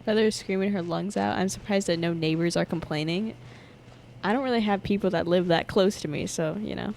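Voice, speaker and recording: A young woman gaming streamer giving casual commentary from home, giggling, swearing a lot and breaking into loud startled outbursts. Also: on the low side, brisk, vocal fry, a little hiss or hum. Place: home room. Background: game music.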